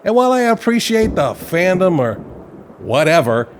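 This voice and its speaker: Audio play: defensive, flustered male novelist, played by a man. High-pitched, very animated, indignant. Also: normal speed, slight background noise. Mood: skeptical.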